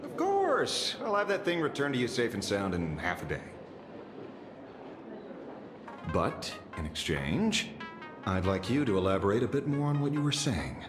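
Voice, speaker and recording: A man playing a shrewd, composed private detective in a video game, speaking in a measured, dry way. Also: medium pitch, wildly swooping pitch. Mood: bargaining. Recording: echoey, over music.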